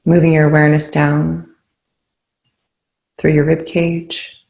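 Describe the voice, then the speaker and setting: Calm meditation guide, very even and creaky. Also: mid-range voice, takes it slowly, muffled recording. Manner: calm, soothing, gently spaced phrases. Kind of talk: guided meditation, soft instruction. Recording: roomy, echoing indoor sound.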